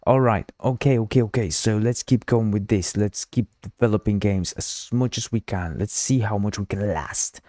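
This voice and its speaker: A man's voice: on the low side, moderate pace, few pauses, mellow, dry recording, studio quality. A man with a Uruguayan Spanish accent, a native Spanish speaker, hosting a casual live coding stream, rambling and repeating himself as he reads the chat aloud. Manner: drinking while streaming. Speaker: livestream host.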